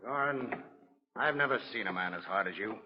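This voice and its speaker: A man's voice: lowish voice, lively intonation, normal speed, lightly acted. An actor playing a stern, disapproving male prison guard in 1940s radio drama. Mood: stern, disgusted. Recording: muffled old radio recording.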